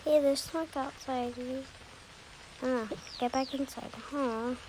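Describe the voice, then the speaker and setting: A woman's voice: sits high, steady tone, slow pace, a little mellow, a little hiss or hum, poor audio. A woman voicing a busy mother in improvised pretend play with game characters, hesitant and murmuring to herself. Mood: busy, distracted. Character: busy mother packing up for a family move.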